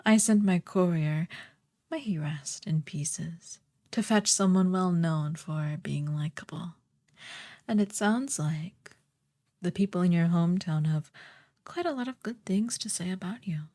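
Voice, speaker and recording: Evil sorceress queen, played by a woman: lowish, mellow and breathy, unhurried, haughty and ruthless, for fantasy ASMR roleplay. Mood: smug, threatening. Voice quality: soft, breathy, partly whispered. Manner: slow, condescending, commanding.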